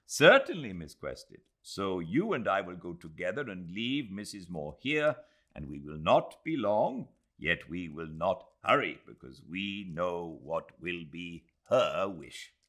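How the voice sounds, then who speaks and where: A man voicing an eager, humble, excitable young Indian host who speaks with effusive courtesy, in an audiobook. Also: low voice, slow pace, heavily acted. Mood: eager, courteous.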